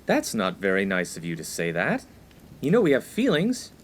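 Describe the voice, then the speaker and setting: A man's voice: lowish voice, wildly swooping pitch, normal speed, slight background noise. An actor playing a sensible, square young college student, the dry and pedantic straight man of a comedic radio play. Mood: earnest.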